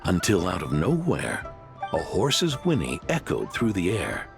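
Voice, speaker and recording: A man's voice: low voice, huge pitch swings, unhurried, gravelly, full character voice. An actor playing a proud older male guest house owner in a video game, speaking in a theatrical, emphatic storytelling manner. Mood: earnest storytelling. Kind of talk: animated game dialogue, storytelling. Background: music.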